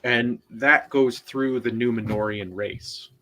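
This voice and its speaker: Slow, halting man explaining lore. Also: medium pitch, steady tone, pushed and bright, poor audio. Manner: halting and explanatory, many restarts and "right?". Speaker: co-host and panelist. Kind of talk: casual online book discussion.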